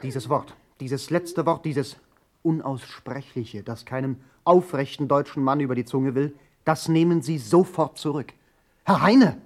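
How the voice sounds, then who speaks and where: Radio drama: male actor for a chivalrous, touchy nationalist gentleman, medium pitch, stiff and declamatory. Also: a little slow, lightly acted. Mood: indignant. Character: pompous, easily offended, chivalrous German nationalist male visitor. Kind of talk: radio play acting.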